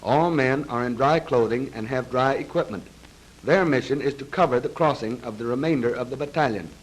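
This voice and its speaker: A man reads scripted narration for a 1930s military training film in a formal, measured, precise manner, on a vintage film soundtrack. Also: mid-range voice, slight background noise, low-quality recording. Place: voice-over recorded for a film.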